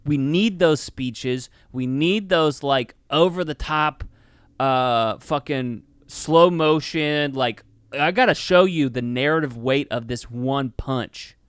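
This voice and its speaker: A man co-hosting a podcast, chatting casually in an excitable, rambling way with plenty of swearing. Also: fairly high pitch, slow pace, clear, resonant tone, no room sound, clean studio sound. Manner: excitable, profane, rambling enthusiast. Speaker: podcast co-host reviewing a film. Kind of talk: casual chat.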